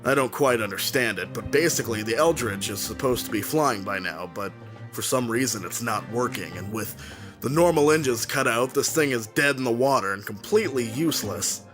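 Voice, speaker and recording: A man plays a decisive wartime navy captain in an audio drama. He is blunt and plain-spoken, giving orders at a middling pitch over quiet music. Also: lively intonation, a little fast, natural voice. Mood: earnest, frustrated. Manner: blunt, commanding. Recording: music behind the voice.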